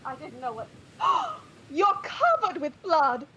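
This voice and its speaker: A woman's voice: very high voice, wildly swooping pitch, takes it slowly, bright, forceful tone, a little hiss or hum, full character voice, low-quality recording. A woman acting in a radio drama as an anxious, tender wife and mother. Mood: horrified.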